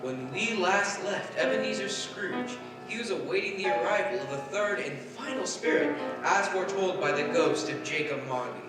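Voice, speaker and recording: A man announcing and narrating in a 1940s-style radio drama, with grave, measured storytelling. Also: high-pitched, expressive. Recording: echoey stage from far off with music.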